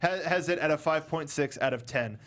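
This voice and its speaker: A man hosting a casual panel chat, rambling through animated asides in stop-start phrases. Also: fairly high pitch, fairly quick. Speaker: talk show host.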